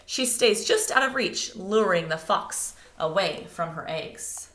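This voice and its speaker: A woman reads a nature picture book aloud as expressive narration meant for children. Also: medium pitch, slow pace, slight background noise. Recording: echoey room.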